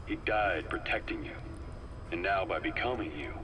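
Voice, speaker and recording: A deep-voiced, middle-aged spy acted by a man speaks in measured, cryptic phrases. He is calculating and loyal, a video game character heard on a noisy taped recording. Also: close to an everyday voice. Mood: solemn, persuasive. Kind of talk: scripted video game voice acting on a cassette tape.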